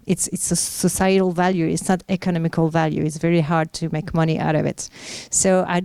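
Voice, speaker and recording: A woman answers questions at a panel with a lowish, mellow and smooth voice. She halts often, restarting sentences with fillers. The sound is dry and close. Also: flat delivery, a little fast, dry recording. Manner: hesitant, many fillers and restarts. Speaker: media executive answering questions.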